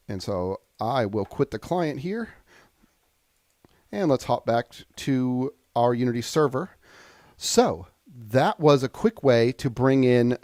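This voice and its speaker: Male software instructor, medium pitch, unscripted and explanatory, halting delivery, very dry close sound. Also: no echo. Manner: halting, thinking aloud, explanatory. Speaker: software trainer. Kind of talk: unscripted screen-share tutorial.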